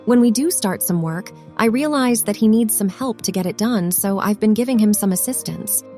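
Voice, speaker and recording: A woman's voice: medium pitch, animated, moderate pace, warm tone, faint music behind, close to an everyday voice. A woman playing an earnest, slightly unsure classroom aide recounting her day in a scripted monologue. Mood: earnest, confiding.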